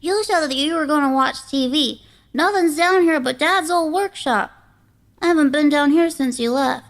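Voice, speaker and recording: A woman's voice: high-pitched, normal speed. A woman playing a timid, gullible little sister in an audio drama. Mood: puzzled. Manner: innocent, childlike. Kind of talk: audio horror-comedy drama.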